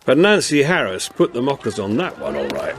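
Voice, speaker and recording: An actor playing a homely older policeman in a radio drama monologue, confiding and rueful, with a husky voice and an English accent. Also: medium pitch, wildly swooping pitch, brisk, very noisy. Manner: confiding, plain-spoken, rueful.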